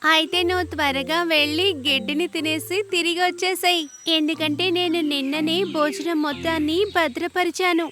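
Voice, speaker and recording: High-pitched bold little cartoon ant. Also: female voice, fairly even intonation, moderate pace, bright, forceful tone, soft background music. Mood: questioning. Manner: childlike, assertive. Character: tiny, bold, confident young ant, loyal cartoon friend. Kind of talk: animated children's cartoon dubbing.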